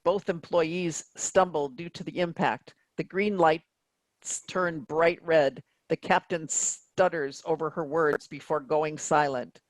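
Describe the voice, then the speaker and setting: A woman's voice: deep voice, fairly even intonation, takes it slowly, no room sound, low-quality recording. A woman reading out stage directions as scripted narration for a play.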